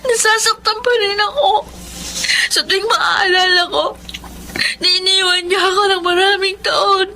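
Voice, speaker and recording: Very high-voiced Filipina teenage granddaughter. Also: female voice, steady tone, a little slow, pushed and bright, exaggerated voice. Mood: bitter, tearful. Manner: pleading, polite to elders. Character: earnest, emotional teenage granddaughter from the province. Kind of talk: Filipino radio drama acting. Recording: radio drama with music underneath.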